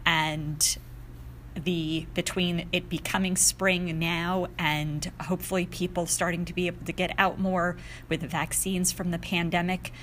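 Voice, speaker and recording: A woman's voice: lowish voice, level tone, unhurried, fairly bright tone, a little hiss or hum. A woman, a teacher reading and talking about poetry. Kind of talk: poetry reading with brief personal comment.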